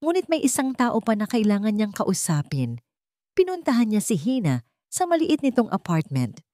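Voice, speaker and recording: A woman's voice: on the high side, huge pitch swings, normal speed, warm tone, no room sound, clean studio sound. A woman reading a scripted romance story as a dramatic narrator.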